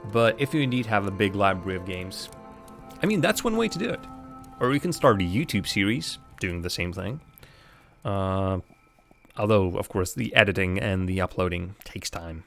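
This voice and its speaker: A young man from Latvia with a lowish voice that swings widely in pitch, chatting as he plays a strategy game live. He thinks out loud, reacts to the game and answers his viewers. Faint music plays behind him. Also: expressive, moderate pace, creaky. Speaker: livestreamer playing a game. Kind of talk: casual live gameplay commentary.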